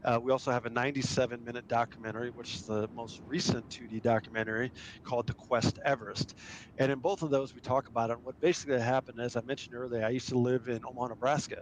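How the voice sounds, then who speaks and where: A man's voice: mid-range voice, flat delivery, fast, slight background noise, low-quality recording. A middle-aged man who grew up in Germany tells a long personal story with enthusiasm, speaking casually to a virtual audience. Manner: enthusiastic, anecdotal. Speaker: guest speaker, adventurer and filmmaker.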